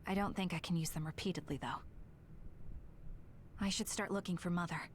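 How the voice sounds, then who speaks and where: A woman's voice: lowish voice, a little fast, a little hiss or hum, natural voice. A woman voicing an earnest, determined young woman in an anime game, speaking dramatically as if thinking aloud. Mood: uncertain, musing.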